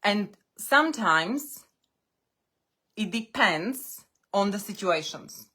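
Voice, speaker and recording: A woman coaching English learners on a live stream. English is her second language, and she speaks deliberately in short phrases with pauses. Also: mid-range voice, lively intonation, sharp tone, breathy edge. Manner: non-native English speaker.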